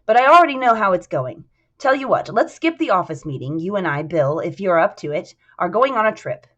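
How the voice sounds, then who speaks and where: A woman voicing a confident, commanding male business boss from a novel, brisk, assured and formal. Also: mid-range voice, sing-song. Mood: cheerful, brisk. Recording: dull lo-fi sound.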